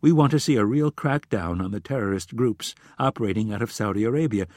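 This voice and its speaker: A male narrator reads the part of a shrewd woman running American intelligence in an audiobook thriller. The delivery is measured, diplomatic and firm, with a slightly husky edge and a dry, close sound. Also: lowish voice, darkish tone, dry recording, close to an everyday voice. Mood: neutral, firm. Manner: neutral, measured, controlled.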